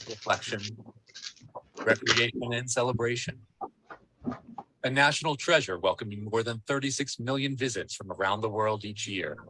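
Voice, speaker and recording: A man reading scripted documentary narration in a solemn, measured and formal way. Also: mid-range voice, background noise.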